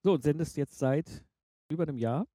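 A man's voice: a little high, a little fast, mellow, breathy edge, no room sound, studio quality. A man hosting a podcast interview in a casual, conversational style.

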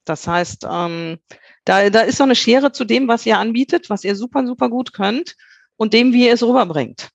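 Male copywriting expert, higher register, dry close sound, direct and blunt. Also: moderate pace, vocal fry, no room sound, muffled recording. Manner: direct, punchy, many filler sounds. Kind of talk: advice to listeners in a podcast.

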